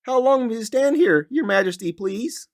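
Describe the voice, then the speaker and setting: A man's voice: very high voice, expressive, moderate pace, voice pushed up. A man voicing a small, tired male turtle who pleads politely from the bottom of a stack, in a thin, whiny, strained voice. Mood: pleading. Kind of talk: storybook read-aloud.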